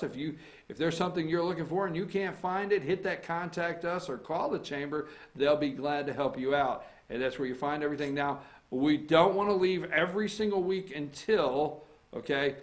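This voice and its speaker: A man's voice: on the high side, normal speed. Teasing male morning-show host. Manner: teasing, banters and interrupts. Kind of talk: casual morning show chat.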